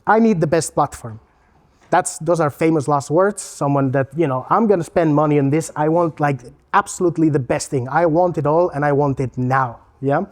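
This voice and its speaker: A man's voice: fairly high pitch, normal speed. A man from Spain with a Spanish accent, giving a casual, joking presentation as a learning technology consultant. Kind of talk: conference presentation.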